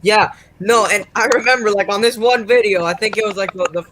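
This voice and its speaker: A young man with a high voice chats casually on an online call. Clear background noise sits under his voice. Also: steady tone, brisk. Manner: chatty, says his voice is usually loud. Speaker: co-creator and voice actor. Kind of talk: casual chat over a voice call. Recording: noisy internet call line.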